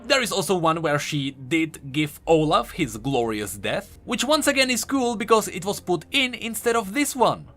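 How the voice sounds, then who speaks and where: A man's voice: high voice, big pitch swings, normal speed, hardly any pauses, fairly bright tone. A man doing an enthusiastic, conversational voice-over commentary as a video host. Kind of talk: game lore commentary voice-over.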